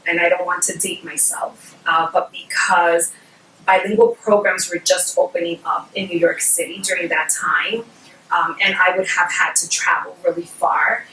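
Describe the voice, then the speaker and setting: A middle-aged woman whose first language is Spanish, explaining bilingual learning in a measured way, with frequent ums and personal examples. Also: on the low side, steady tone, pushed and bright. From Puerto Rico. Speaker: guest speaker on bilingual education. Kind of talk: explanatory workshop talk. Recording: echoey room, mic at a distance, faint noise.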